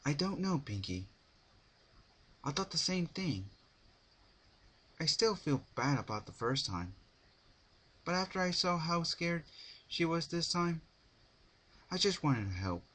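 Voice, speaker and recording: A man's voice: fairly high pitch, sing-song, a little slow, pauses often, a bit bright, husky, voice pushed up, exaggerated voice. A man voicing a weary, worried, studious young female unicorn pony in a fretful, earnest manner. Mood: weary, troubled.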